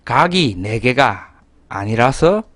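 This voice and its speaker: A middle-aged pastor with a Gyeongsang accent explains his point in a slow, deliberate way, with pauses and soft fillers. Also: mid-range voice, big pitch swings, no room sound. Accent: Gyeongsang dialect. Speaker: pastor, guest. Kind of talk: conversational explanation.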